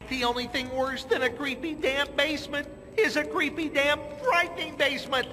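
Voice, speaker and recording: A goofy, cowardly young slacker from a cartoon game. He is very high-pitched and sharp, whining skittishly in hippie slang. Long reverb and music surround him. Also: fairly even intonation, normal speed. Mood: nervous.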